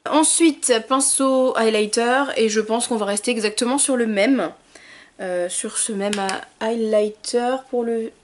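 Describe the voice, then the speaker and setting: Woman, beauty vlogger, bubbly tutorial chat, fairly high voice, soft trailing asides. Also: a little slow.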